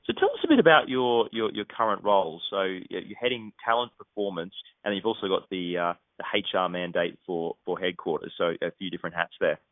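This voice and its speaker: A man's voice: deep voice, animated, a little fast, few pauses, no room sound, narrow, tinny audio. A man hosting a professional interview, politely putting his questions with some hesitant phrasing. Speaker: interviewer, host.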